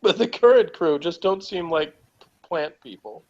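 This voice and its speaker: A man's voice: on the high side, expressive, a little slow. A man hosting a casual episode review. He is sarcastic, chuckles often and reads from his notes. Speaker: podcast host reviewing an episode. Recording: dull lo-fi audio.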